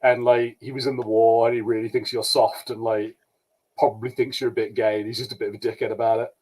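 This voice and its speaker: A man co-hosting a casual pop-culture podcast, animated, jokey and sweary, slipping into mocking mimicry. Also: mid-range voice, fast, poor audio. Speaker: podcast co-host.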